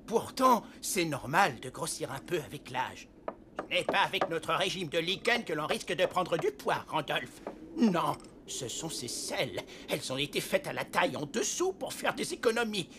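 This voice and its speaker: A man's voice: a little high, big pitch swings, moderate pace. A man voicing a vain, grumbling reindeer in a cartoon, complaining in indignant exclamations. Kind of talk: animated cartoon dialogue. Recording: clear noise behind the voice.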